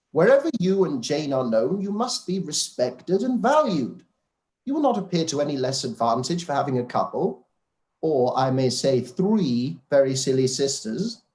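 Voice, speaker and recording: A dry, gently mocking older father, played by a man in a live-read radio play. His lively voice rises and falls as he teases, and it sits a little high for a man.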